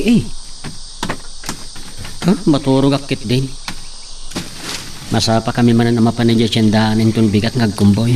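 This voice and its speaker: A man's voice: medium pitch, a little mellow, lightly acted. Man playing a hesitant young man, distant mic, faint noise. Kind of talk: amateur audio drama acting.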